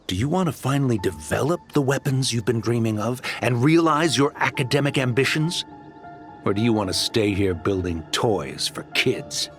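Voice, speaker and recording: An actor playing a brash, greedy desert mercenary leader in a video game, talking in a slick, pushy way. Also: male voice, mid-range voice, animated, normal speed, lightly acted. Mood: pressuring. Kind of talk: scripted video game acting. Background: music.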